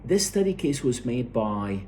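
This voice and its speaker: A man giving an explanatory academic lecture, halting and repeating words as he goes, often checking that listeners follow. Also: medium pitch, sing-song, darkish tone, a little hiss or hum.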